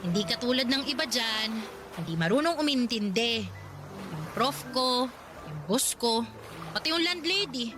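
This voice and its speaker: A woman's voice: on the high side, big pitch swings, moderate pace, pauses often. An actress playing a broke young female college student who complains about work and money, in a radio drama with music underneath. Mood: grumbling. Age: young adult. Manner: chatty, grumbling about her hardships. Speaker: working student character.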